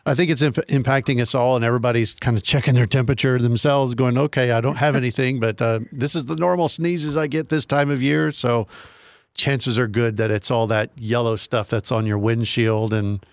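A man's voice: medium pitch, fairly even intonation, fairly quick, dry recording. A man chatting casually on a radio show from the studio, easygoing and self-deprecating. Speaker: radio station staff, co-host.

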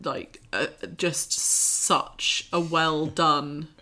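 Female arts show co-host, deep for a woman, informal conversational reviewing style. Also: steady tone, very slow, sharp tone. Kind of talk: casual film discussion.

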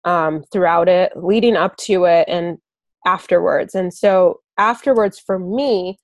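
A woman hosting a podcast, talking in a measured, reflective way. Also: lowish voice, no room sound. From United States. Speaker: podcast host. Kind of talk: casual podcast chat.

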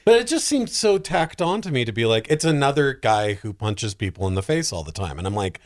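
A man with a very animated, swooping delivery talks casually as a panelist in a film discussion. His pitch sits in an ordinary male range, and he slips into mock reactions as he argues. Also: a little fast. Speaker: podcast panelist discussing films.

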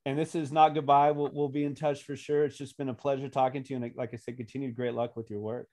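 A man hosting a podcast interview, supportive and warm toward his former student, with quick short replies. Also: medium pitch, little pitch movement. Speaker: podcast host, former teacher. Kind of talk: interview chat.

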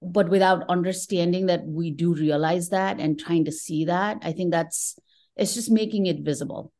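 Woman, middle-aged, from Nepal, low voice, reflective interview guest, conversational delivery. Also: steady tone, moderate pace, a little mellow. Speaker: podcast guest, tech professional.

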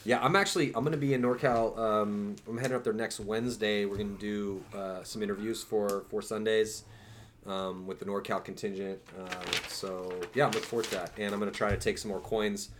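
A man's voice: lowish voice, steady tone, brisk, a bit bright, slight background noise. A man talking casually as a guest on a talk show, slangy and emphatic. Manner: slangy, emphatic, mild swearing. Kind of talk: casual chat.

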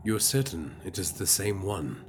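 A man playing a measured, diplomatic adviser in an audio drama, speaking carefully and formally. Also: low-pitched, sing-song, slight background noise. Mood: concerned, tactful.

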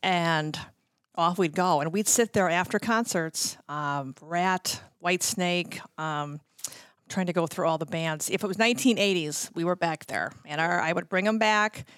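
A woman's voice: fairly low pitch, unhurried, a bit bright, clear, resonant tone, no echo. Middle aged american lady swapping old stories, chatty, acts out the dialogue, close dry sound. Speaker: interview guest, former radio promotions worker. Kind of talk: casual anecdotal storytelling.

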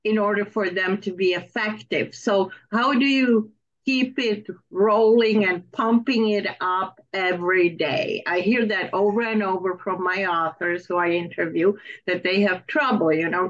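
A woman's voice: mid-range voice, measured pace. A woman with a mid-range voice hosts an interview, asking questions in a conversational way. Her sound is somewhat dull and lo-fi. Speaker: interviewer of authors, host. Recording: muffled lo-fi sound.

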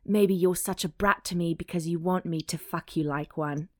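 A commanding, lustful man from a romance novel, read by a woman. The voice sits slightly low and is close and dry, in audiobook dialogue style. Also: fairly even intonation, normal speed, no room sound, lightly acted. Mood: commanding, taunting.

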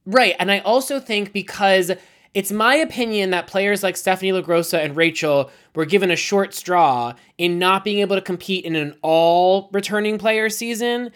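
A man's voice: high-pitched, normal speed, a bit bright. Rambling, chatty podcast host man. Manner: long run-on questions with frequent self-corrections. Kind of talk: casual interview chat.

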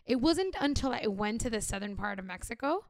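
A woman's voice: fairly high pitch, brisk, dry recording, studio quality. A woman from Los Angeles chatting casually, acting out remembered reactions with expression. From Los Angeles, USA. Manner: expressive, acts out quoted reactions. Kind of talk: casual conversational chat.

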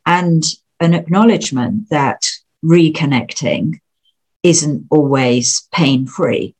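A woman with a slightly husky voice, talking things through in a reflective, meandering way. She leans on "you know" as she works her way to each point. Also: low voice, expressive, slow, darkish tone. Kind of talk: casual conversation.

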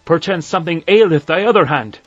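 A man's voice: fairly high pitch, expressive, brisk, no room sound. A man playing a courteous, curious broker who narrates his story in formal archaic English for an audio drama. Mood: hospitable, curious. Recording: dull lo-fi sound, faint music behind.

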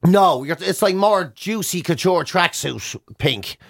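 A high-pitched Irish man with a Dublin accent swoops up and down as he rants through a funny story. He talks casually, like a radio host bantering with a mate, in a dry, close studio sound. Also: unhurried, vocal fry, no room sound. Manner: animated, exasperated comic ranting.

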